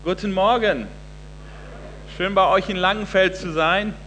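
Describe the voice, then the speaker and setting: A man in his forties with a high, bright voice preaches a sermon. He speaks earnestly and emphatically, pausing on fillers and restarting sentences. The sound carries a long hall reverb. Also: slight background noise. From Hesse, Germany. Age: 40s. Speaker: guest preacher.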